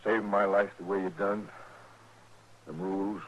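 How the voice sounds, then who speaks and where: An actor playing a sheepish cattle rustler in a 1950s radio western, talking in a hesitant, folksy drawl. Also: male voice, low voice, flat delivery, normal speed. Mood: awkward, sheepish. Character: sheepish, halting cattle rustler of the old West. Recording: dull vintage radio drama recording.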